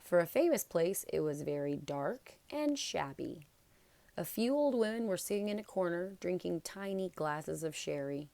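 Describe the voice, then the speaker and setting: Low, creaky-voiced woman reading a story. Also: animated, normal speed, mellow, dry recording. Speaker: storybook narrator. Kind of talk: read-aloud narration of a children's novel.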